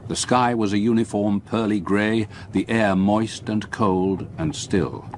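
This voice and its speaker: An actor playing a haunted English gentleman who narrates his ordeal in a grave, measured, literary style for radio drama. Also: on the low side, fairly even intonation, a little hiss or hum, lightly acted. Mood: bleak, weary.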